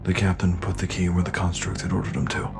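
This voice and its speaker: Man as a low, gravelly, shaken soldier. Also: completely flat delivery, music in the background. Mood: shaken, hesitant. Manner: hesitant, halting. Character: shaken, weary soldier sergeant, hesitant and apologetic. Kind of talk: dramatic sci-fi audio acting. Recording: clear background ambient noise.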